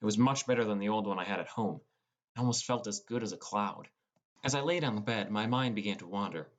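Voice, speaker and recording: A man's voice: fairly low pitch, quick pace. A man reading scripted first-person fantasy fiction as an audiobook narrator.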